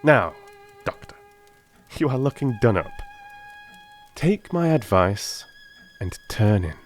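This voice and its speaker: A man playing a sharp, confident, excitable male detective in theatrical audiobook style, emphatic and commanding. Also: medium pitch, slow pace, soft background music. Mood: brisk, assured. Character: sharp, confident male detective, excitable, self-assured, vexed at being fooled. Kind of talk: theatrical dramatised audiobook acting.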